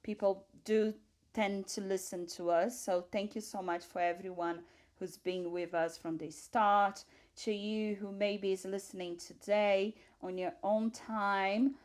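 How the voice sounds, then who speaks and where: A woman's voice: medium pitch, fairly even intonation, unhurried. Woman hosting, hesitant speech. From Brazil. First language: Portuguese. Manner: hesitant, with frequent ums and restarts. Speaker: podcast host. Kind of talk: conversational interview.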